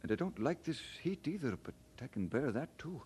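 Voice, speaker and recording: A man's voice: medium pitch, sing-song, brisk, dark tone, husky. A man playing a polite, earnest, hard-working young Scottish immigrant in an old radio drama. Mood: weary, discouraged. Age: young adult.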